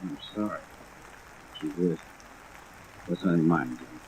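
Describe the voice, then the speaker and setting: A man's voice: deep voice, unhurried, a little mellow, low-quality recording. An actor playing an uneasy, slightly drunk insurance man in a 1950s radio drama, muted and hesitant. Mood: uneasy, subdued. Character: subdued, uneasy, tipsy male insurance man. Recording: old radio recording, faint rain noise.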